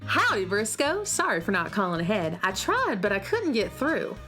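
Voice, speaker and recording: A woman's voice: mid-range voice, wildly swooping pitch, moderate pace, sharp tone, soft background music. Kindly, take-charge space cowgirl: mid-range voice, breezy folksy drawl, kids' radio serial. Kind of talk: children's radio serial acting.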